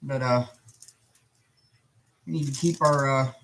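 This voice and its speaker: Hoarse man hosting a chatty live stream. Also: medium pitch, fairly even intonation, slow pace, a bit bright, a little hiss or hum. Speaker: livestream host. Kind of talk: casual chat.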